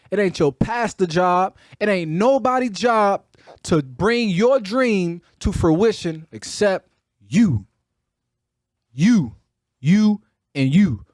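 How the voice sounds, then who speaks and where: A man's voice: high-pitched, darkish tone, no echo, clean studio sound. A man with a Chicago accent hosting a motivational podcast in a preacher-like, emphatic and colloquial way, hammering key phrases through repetition. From Chicago, United States. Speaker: podcast host, motivational speaker. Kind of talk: motivational talk with preacher-like delivery.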